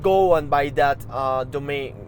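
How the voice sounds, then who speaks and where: A man with a Mexican Spanish accent hosts a motivational podcast in English, his second language, with a somewhat high voice. He talks earnestly and urges listeners on, stumbling and repeating words as he goes. Street noise and cars are clearly heard behind him. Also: unhurried. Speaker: podcast host.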